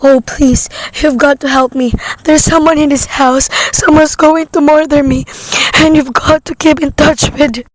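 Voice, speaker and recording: A woman's voice: sits high, fairly even intonation, unhurried, hardly any pauses, no echo, rough recording. A woman playing a nervous, demanding invalid wife alone at home in a radio play, with an imperious, fretful manner. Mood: terrified, pleading.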